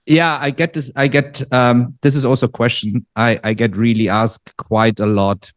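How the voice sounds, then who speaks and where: A man in his forties from Germany with a German accent, talking in a relaxed interview with many fillers and restarts. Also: medium pitch, normal speed, dry recording, clean studio sound. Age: 40s. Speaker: guest trader. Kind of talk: casual interview. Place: living in Dubai.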